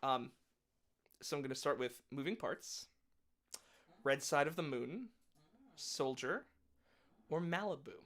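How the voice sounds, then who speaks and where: Chatty singer with a bright, tense voice. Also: medium pitch. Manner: rambling, chatty, quick asides with little laughs. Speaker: musician performing a live set. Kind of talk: casual chat between songs.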